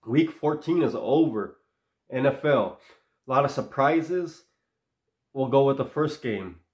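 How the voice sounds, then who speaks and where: A man's voice: medium pitch, a little mellow, reverberant. A man with a stuffy nose from being sick, hosting his own channel and giving rambling, opinionated football takes. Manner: rambling, opinionated, talks in loose runs. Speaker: sports channel host. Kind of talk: casual solo sports commentary.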